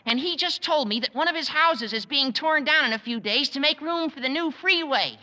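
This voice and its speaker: An actor playing an eager, fast-talking teenage schoolboy in a 1950s radio sitcom, with a high, youthful voice. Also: male voice, animated, sharp tone, exaggerated voice. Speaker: student. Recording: old radio broadcast with long reverb.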